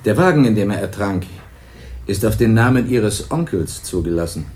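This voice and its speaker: An actor playing a calm, formal police investigator in a radio crime drama, measured and official. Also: male voice, lowish voice, natural voice. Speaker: police detective.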